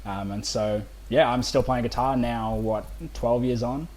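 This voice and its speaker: An Australian man in his twenties talks as a guest in a relaxed-format chat. His voice is mid-pitched and his phrasing is informal and conversational. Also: measured pace, a little hiss or hum. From Melbourne, Australia. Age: 20s. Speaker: band vocalist, podcast guest. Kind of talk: casual chat.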